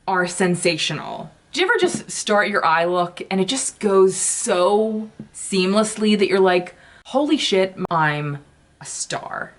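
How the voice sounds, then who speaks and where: A woman's voice: lowish voice, a bit bright. Chatty, swearing woman beauty vlogger. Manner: chatty, swears often, exclaims about products. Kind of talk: casual chat while doing makeup.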